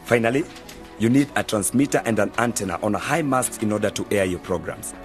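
An actor playing a young university student who patiently explains things in a measured way in an educational radio drama. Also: male voice, lowish voice, soft background music, lightly acted. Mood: patient, instructive. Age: young adult. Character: calm, knowledgeable male university student mentor. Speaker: university journalism student mentoring novices.

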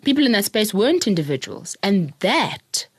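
A woman's voice: mid-range voice, very animated, moderate pace, breathy edge, no echo. Reflective South African woman, dry close sound. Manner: reflective, laughs often, repeats words while thinking. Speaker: podcast guest. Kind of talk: personal storytelling in an interview.

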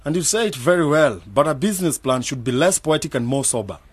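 Radio drama: skeptical young graduate man, husky, slightly high, analytical and argumentative. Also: lively intonation, fast, dry recording. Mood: skeptical, firm. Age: young adult. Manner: questioning, argumentative.

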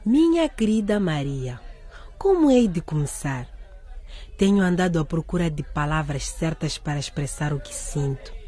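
An actress playing an excitable, romantic teenage schoolgirl with a husky voice, in a radio drama. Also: deep voice, normal speed, faint music behind, exaggerated voice. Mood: tender reading aloud.

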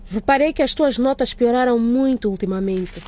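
A woman playing a stern but concerned school director in a radio drama, with a measured, admonishing manner. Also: fairly high pitch, mellow, natural voice.

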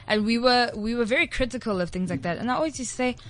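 A woman's voice: fairly high pitch, quick pace. Chatty young South African woman DJ. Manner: chatty and animated, peppered with "like". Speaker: guest DJ. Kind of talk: casual interview chat.

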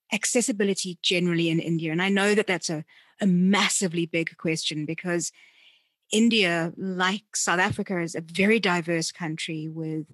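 A woman's voice: on the low side, fairly bright tone, no room sound. A woman hosting a conversational interview, reflective and rephrasing as she goes. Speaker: podcast host, interviewer.

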